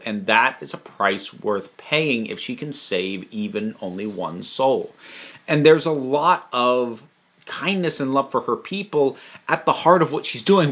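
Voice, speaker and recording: A man hosting a casual, unscripted review, talking emphatically and thinking aloud with halting, digressive phrasing. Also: medium pitch, a bit bright. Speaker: host reviewing audio dramas.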